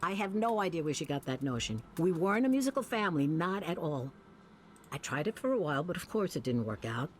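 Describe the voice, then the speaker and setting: A woman's voice: low voice, sing-song, brisk, slight background noise. A woman playing a chatty, lonely, scatterbrained older lady who rambles wistfully in a visual novel. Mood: amused reminiscing. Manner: rambling, folksy, wistful storytelling. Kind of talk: naturalistic visual novel voice acting.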